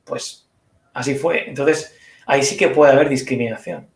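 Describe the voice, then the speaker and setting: A man's voice: mid-range voice, normal speed, echoey room. A man answering questions as a speaker at an online conference, thoughtful and hedging, explaining with examples.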